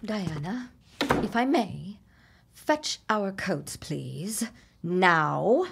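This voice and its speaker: A woman's voice: medium pitch, lively intonation, vocal fry, very noisy, full character voice. An actress playing a guarded, probing young woman in a 1916 period audio drama, speaking tersely and with cool control. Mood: curt. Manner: terse, measured.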